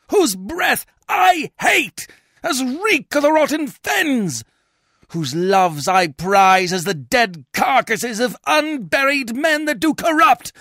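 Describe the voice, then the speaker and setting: A man's voice: high-pitched, a bit bright, no room sound, clean studio sound, pitched above the performer's own voice, full character voice. A man playing a proud, furious Roman general in a dramatic reading, scornful and defiant.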